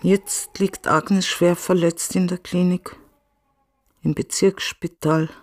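A man's voice: high-pitched, little pitch movement, takes it slowly, a little hiss or hum. An actor in a radio drama playing a plain-spoken village man who narrates a local story in short statements. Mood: plain, narrating.

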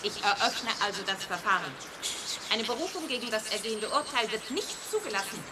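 A woman's voice: fairly high pitch, expressive, brisk, lightly acted. A woman playing a stern judge in a radio play, formally pronouncing legal formulas. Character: stern, formal female judge opening proceedings. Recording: distant voice in very noisy ambience.

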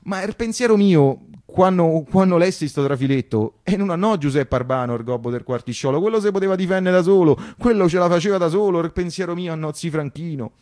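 Male stage storyteller, Roman accent, higher-than-average pitch, colloquial and emphatic monologue delivery. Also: brisk, hardly any pauses, warm tone. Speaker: actor-storyteller. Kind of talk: theatrical first-person monologue in dialect.

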